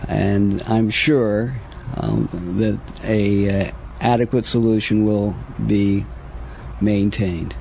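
Low-voiced, deliberate American male official. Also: steady tone, creaky, a little mellow, slight background noise. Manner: measured, deliberate, bureaucratic phrasing. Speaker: government agency official being interviewed. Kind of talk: formal explanatory interview answers.

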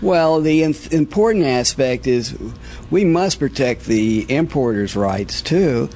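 A man answering an interview as a facility veterinarian, explaining things in a formal, careful and somewhat halting way. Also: mid-range voice, lively intonation, dark tone, a little hiss or hum.

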